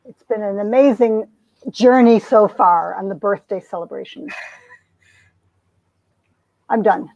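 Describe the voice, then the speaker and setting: A woman's voice: medium pitch, measured pace, creaky, long pauses, darkish tone. A woman performer and director giving an informal introduction in dull, roomy lo-fi sound. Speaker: director and cast member.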